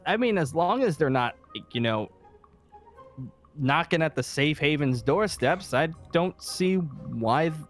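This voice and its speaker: A man's voice: fairly high pitch, expressive, unhurried, soft background music. A man playing a weary, cautious leader of a zombie-apocalypse safe haven in an improvised game roleplay, talking hesitantly and trailing off. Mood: reluctant, instructive.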